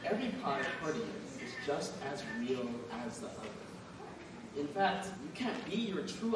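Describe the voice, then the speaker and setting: A calm, wise college professor, played by a young man counsels in a measured, reassuring tone. His voice sits fairly high and carries from a distance across a very echoey stage. A clear background noise runs underneath. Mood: encouraging. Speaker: actor in a student stage play. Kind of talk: live stage acting. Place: university auditorium stage. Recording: echoey stage sound from far off, audience noise.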